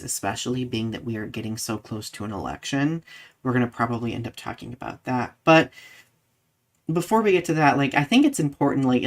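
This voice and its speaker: A man with a mid-pitched voice hosts a live discussion podcast. He talks casually and conversationally, sprinkling in "like" and tagging points with "right?" as he goes. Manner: conversational, peppers talk with "like" and "right?". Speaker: podcast host.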